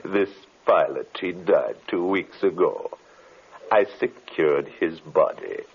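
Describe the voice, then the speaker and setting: An actor playing a ruthless, gloating mastermind in an old-time radio drama, deliberate, commanding and full of menace. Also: male voice, deep voice, animated, gravelly, breathy edge, exaggerated voice. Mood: smug, gloating. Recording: dull, muffled old radio recording.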